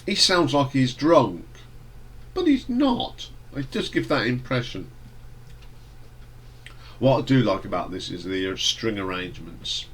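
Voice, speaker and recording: A man with a fairly low voice talks through an album track by track. His nose is stuffy and blocked from hay fever. He rambles in a relaxed way, with fillers and restarts. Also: slight background noise. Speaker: music reviewer. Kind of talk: casual album review.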